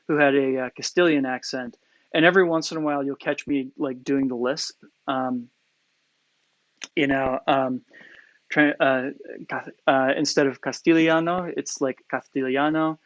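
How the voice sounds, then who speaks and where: A man's voice: medium pitch, fairly bright tone, no room sound, low-quality recording. A man with a Coloradan accent answering viewer questions in a live-stream tutorial, hesitant, with many fillers and restarts, in a small indoor room. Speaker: poi spinning instructor.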